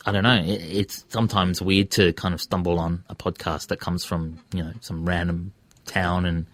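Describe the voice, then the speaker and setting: A man's voice: sits low, flat delivery, moderate pace, a bit bright, dry recording. An Australian man speaking casually as an interview guest, earnest and thinking aloud as he gives his views. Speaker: interview guest, community radio presenter and podcaster.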